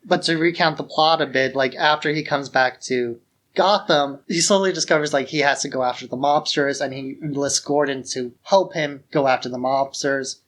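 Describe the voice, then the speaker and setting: A man's voice: medium pitch, normal speed, fairly bright tone. A man co-hosting a podcast, chatting casually about film in an informal, enthusiastic way. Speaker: podcast co-host.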